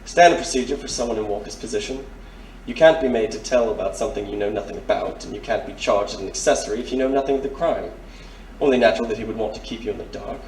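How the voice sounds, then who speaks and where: A man's voice: lowish voice, fast talker, darkish tone, natural voice. A man playing a courteous, shrewd detective major in an audio drama, speaking formally and with polite insinuation. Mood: probing, suave. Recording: roomy, distant mic, faint noise.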